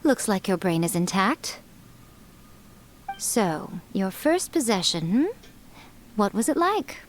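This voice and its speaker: A woman's voice: mid-range voice, very animated, takes it slowly, a little hiss or hum. A woman voicing an elegant, smug fox shrine maiden in a video game, playful and knowing as she teases. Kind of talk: video game dialogue acting.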